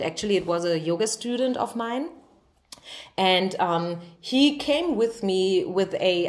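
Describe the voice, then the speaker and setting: A woman speaks as a tarot reader at a medium pitch. She talks informally and straight to the listener, reassuring and direct. She often adds small check questions to see that the listener follows. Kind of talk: informal spoken tarot reading to viewers.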